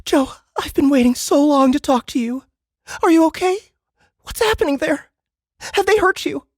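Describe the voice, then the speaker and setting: Man voicing an emotional mother, pitched high. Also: animated, normal speed, dry recording, clean studio sound. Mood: relieved, anxious. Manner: pleading, emotional, intense. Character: worried, emotional mother of a conscripted boy.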